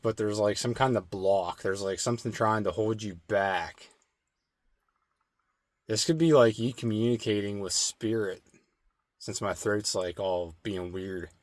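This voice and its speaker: A man giving a slow, meditative spirit card reading with a strained, slightly hoarse throat and many filler words. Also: fairly low pitch, no echo. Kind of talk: intuitive oracle card reading.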